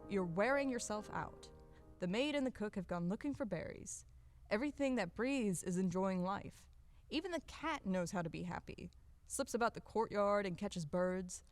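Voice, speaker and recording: A woman's voice: medium pitch, expressive, normal speed, no room sound, faint music behind, lightly acted. Woman playing a fussy servant, close dry sound. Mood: concerned, chiding. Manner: coaxing, chiding, deferential. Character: fussy, plain-spoken, devoted old household servant. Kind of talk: audio play acting of a classic stage comedy.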